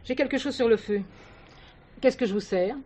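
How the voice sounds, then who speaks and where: A woman's voice: on the high side, moderate pace, darkish tone, close to an everyday voice. Actress as an offended, stubborn serving woman. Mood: curt, evasive. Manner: curt, reproachful, guarded. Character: offended, stubborn unmarried woman who serves customers. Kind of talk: French stage or TV play acting.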